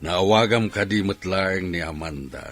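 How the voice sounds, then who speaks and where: An actor playing a stern, domineering Ilocano father in a radio drama, husky and exasperated, with forceful outbursts. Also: deep voice, a little slow, music in the background. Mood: angry, exasperated. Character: angry domineering father, businessman, scolding about his daughter.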